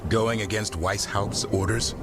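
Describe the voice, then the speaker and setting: An actor playing a wary, plain-spoken monster hunter in a fantasy video game, with loud music over his voice. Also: fairly low pitch, fairly even intonation, a little slow, background noise, natural voice. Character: gruff, wary male monster hunter, devoted to griffins.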